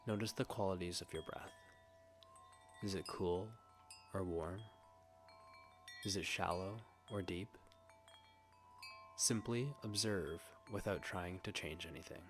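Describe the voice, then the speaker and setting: A man leading a scripted guided meditation, speaking gently and soothingly in a hushed way with long pauses. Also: low-pitched.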